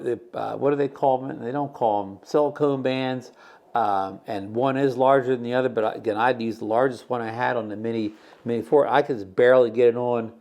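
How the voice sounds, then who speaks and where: A man giving a casual product demo as a video host, talking in a hesitant, repetitive, rambling way. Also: lowish voice.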